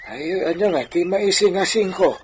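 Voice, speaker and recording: A man's voice: high-pitched, moderate pace, a little hiss or hum, natural voice. A male actor playing a reluctant, grumbling older father in a radio drama, with a hesitant manner.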